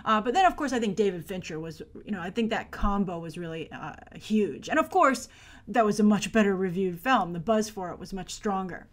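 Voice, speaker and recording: An American woman in her thirties hosting chatty box office commentary to camera, with emphatic delivery and stressed, drawn-out words. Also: mid-range voice, animated. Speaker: movie news show host.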